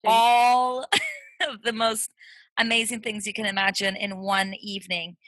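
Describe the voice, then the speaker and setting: A woman's voice: on the high side, level tone, a little slow, fairly bright tone, no room sound. A woman from Brazil with a Brazilian accent, co-hosting a podcast in casual, verbose, playful chat, recorded in a small closet. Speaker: podcast co-host.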